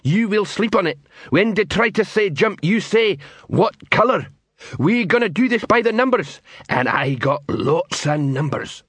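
Guy doing a thick pompous troll sergeant, barks orders in bad grammar, raised pitch, husky. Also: expressive, measured pace, a bit bright, no echo, heavily acted. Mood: pompous, commanding. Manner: barking, ungrammatical drill orders. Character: pompous, proud, dim troll drill-sergeant constable. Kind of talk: audiobook character voice.

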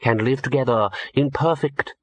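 A man's voice: mid-range voice, moderate pace, dry recording, natural voice. A man voicing a hopeful male cat who speaks in a dreamy, earnest way.

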